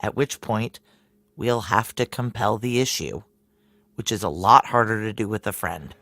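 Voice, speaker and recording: A man with an American accent playing a jaded, no-nonsense security chief in a noir audio drama, narrating in weary, deadpan asides. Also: on the low side, normal speed, no echo. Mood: grim, matter-of-fact.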